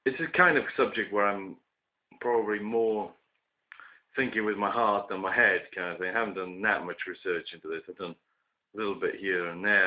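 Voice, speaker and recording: Deep hoarse man with a cold. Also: dull audio. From United Kingdom. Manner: hesitant, many ums and restarts. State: hoarse from a chest infection. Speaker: vlogger. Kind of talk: casual opinion monologue.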